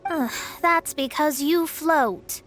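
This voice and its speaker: A woman's voice: sits high, slow. A woman voicing a proud, theatrical young astrologer in an anime-style video game, speaking in formal, self-important phrases. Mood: weary, haughty. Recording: music under the voice.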